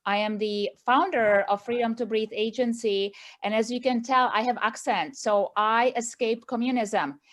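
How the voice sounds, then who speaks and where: A woman's voice: on the high side, normal speed, few pauses. A middle-aged woman from Czechoslovakia with a Czech accent, speaking earnestly to a group as the founder of an advocacy movement and often adding "right?" to her points. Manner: earnest, repeats points with tag questions. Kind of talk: speech to a group meeting.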